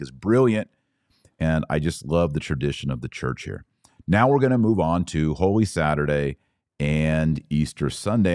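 Guy with a low deep voice doing a religious explainer podcast, chatty but teacherly, repeats stuff for emphasis, really dry sound. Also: darkish tone, no room sound. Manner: didactic, conversational, emphatic restatements. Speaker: podcast host.

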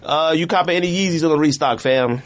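A man's voice: a little high, fast talker. A man co-hosting a casual podcast in slangy, argumentative banter. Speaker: podcast co-host.

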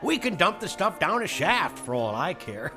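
An actor playing a hearty, gruff but tender frontier prospector in an American Western radio drama, with a folksy way of talking. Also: male voice, high-pitched, big pitch swings, normal speed, music in the background. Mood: deflated, resigned.

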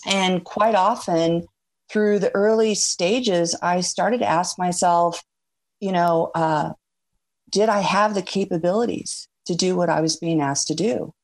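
A woman's voice: lowish voice, steady tone, unhurried. A middle-aged woman guesting on a faith-based radio interview, talking earnestly and reflectively with frequent fillers. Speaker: guest, former executive.

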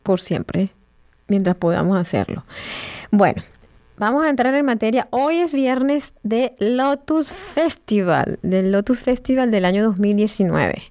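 A woman hosting a radio interview, with a mellow, rounded tone. She speaks at a relaxed, unhurried pace and leans on repeated words for emphasis. The recording is close and dry, with no room sound. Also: medium pitch, no echo. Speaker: radio show host.

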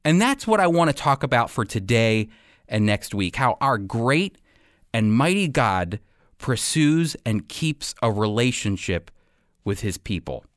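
Animated man teaching on radio, dry studio sound. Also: mid-range voice, unhurried, no echo. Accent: American. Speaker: radio program host and Bible teacher. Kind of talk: scripted radio Bible teaching and commentary.

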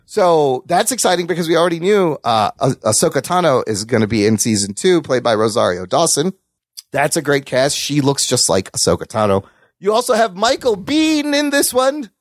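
A man's voice: on the high side, sing-song, normal speed, no echo, clean studio sound. A man hosting a casual entertainment news chat, talking like an enthusiastic, sweary fan. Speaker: podcast host.

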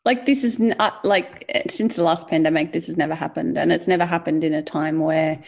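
Woman cohost just chatting, lowish voice with lots of vocal fry. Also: steady tone, fairly quick, a little mellow. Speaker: podcast co-host. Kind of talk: casual chat.

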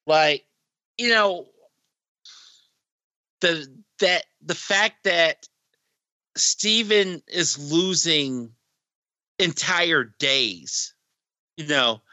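Slow-talking enthusiastic podcast co-host. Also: medium pitch, pushed and bright, dry recording. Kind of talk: casual chat about a TV episode.

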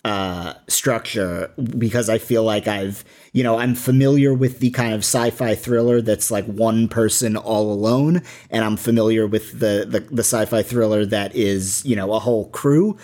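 A man hosting a podcast, presenting and interviewing, somewhat halting when he speaks off the cuff. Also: on the low side, darkish tone. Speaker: podcast host.

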